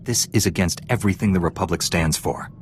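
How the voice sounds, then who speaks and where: Male actor as resolute young-hero type knight, deep register, composed, self-assured, video game, prominent music. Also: fast talker, close to an everyday voice.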